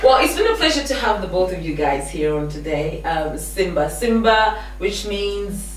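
A young woman hosting a casual talk show in a small studio, playful and quick to laugh. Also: on the low side. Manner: playful, laughs often, animated questioning. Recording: echoey studio from far off, faint noise.

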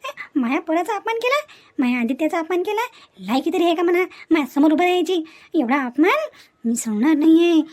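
A woman's voice: extremely high pitch, expressive, fairly quick, darkish tone. A woman voicing a proud, scheming mother-in-law in a cartoon family melodrama, ranting threats in a Vidarbha Marathi accent. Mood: vengeful rage. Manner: indignant, threatening, repetitive ranting.

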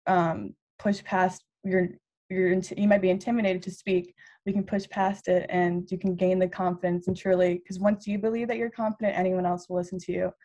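Teen girl, low even voice, earnest and halting, video call. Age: teenager. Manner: earnest, many fillers. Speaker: youth panelist. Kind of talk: casual panel talk.

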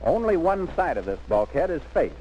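A man's voice: fairly high pitch, sing-song, normal speed, warm tone, muffled recording. A man narrating a 1940s military instructional film in an emphatic, instructive scripted manner, on an old film soundtrack.

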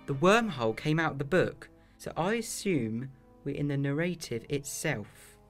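A man's voice: a little high, lively intonation, normal speed, faint music behind. A man playing a serious, hesitant time traveller in a full-cast audio drama. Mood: resigned.

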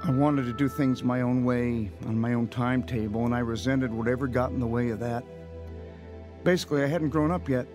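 Actor as young American husband over music. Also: male voice, mid-range voice, fairly even intonation, fairly quick, lightly acted. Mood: reflective. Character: young drug-dealing husband and new father, restless, self-centred. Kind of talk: audio drama acting with first-person narration. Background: background music.

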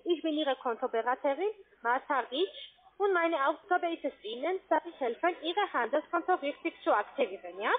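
High female telesales voice, foreign accent. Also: animated, moderate pace. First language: not German. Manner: formal, checks with short tag questions. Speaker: call centre sales agent. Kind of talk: scripted sales pitch. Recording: narrow-band phone line.

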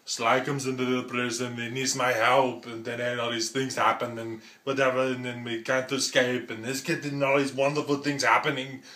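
A man's voice: medium pitch, level tone, normal speed, hardly any pauses, sharp tone, lightly acted. A man impersonating a boastful Austrian action-star politician, rambling emphatically in a thick accent. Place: small room at home. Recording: roomy home recording.

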